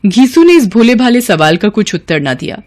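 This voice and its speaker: A man's voice: high-pitched, very animated, moderate pace, darkish tone. A man narrating a scripted story as a storyteller.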